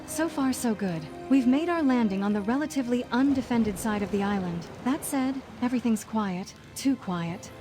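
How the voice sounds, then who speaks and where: An actress playing an earnest, resolute mage leader in a video game, measured and authoritative. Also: female voice, on the high side, expressive, natural voice. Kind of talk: video game character acting. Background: music.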